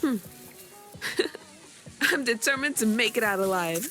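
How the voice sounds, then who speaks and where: A woman plays a busy young guild master who greets newcomers warmly but keeps them working hard. Her voice sits a little high, and faint music plays in the background. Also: lively intonation, a little slow. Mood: friendly. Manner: warm and welcoming, a little bossy. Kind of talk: scripted voice drama.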